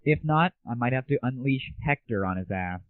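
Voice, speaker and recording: A man's voice: mid-range voice, lively intonation, moderate pace. A man hosting an online gaming show in a casual, irreverent monologue, with a cheeky, slangy and crude way of talking. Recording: tinny, narrowband phone-like audio.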